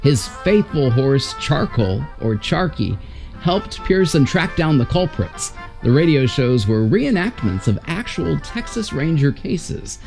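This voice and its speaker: A man's voice: a little high, sing-song, unhurried, gravelly, a little mellow. A man giving a scripted introduction as host of a vintage radio series. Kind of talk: scripted narration. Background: faint music.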